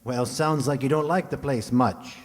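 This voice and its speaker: A man's voice: mid-range voice, moderate pace, lightly acted. A man playing a friendly, hearty cart driver who calls out in a folksy way in a radio play. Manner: hearty, calling out. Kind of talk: children's radio play acting. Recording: reverberant hall, slightly noisy.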